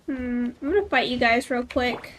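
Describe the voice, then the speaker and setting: A woman's voice: a little high, moderate pace, fairly bright tone, a little hiss or hum. A woman streaming a game, chatting casually with viewers and making playful asides. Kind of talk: casual live gameplay chat. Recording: faint game music behind.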